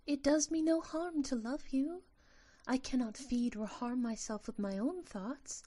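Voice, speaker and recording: A woman plays a tiny female sylph in an audio drama. Her voice is high and mellow, with an innocent, affectionate temper. She words everything precisely and formally, with a cryptic touch. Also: measured pace. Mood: tender. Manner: precise, formal phrasing without contractions.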